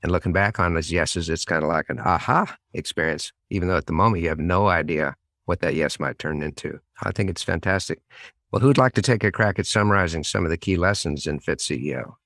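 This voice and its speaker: Very deep-voiced male podcast host. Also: a little fast, fairly bright tone, no echo, studio quality. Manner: encouraging, summarizing the guests' points. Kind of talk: interview talk.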